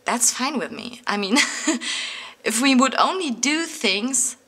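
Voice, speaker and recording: Woman, German accent, Berlin, native German, bright clear tone, rambling casual vlog, fillers and restarts. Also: a little high, lively intonation, takes it slowly. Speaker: planner vlogger. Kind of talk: casual talk to camera. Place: indoors at a table, overhead camera on hands.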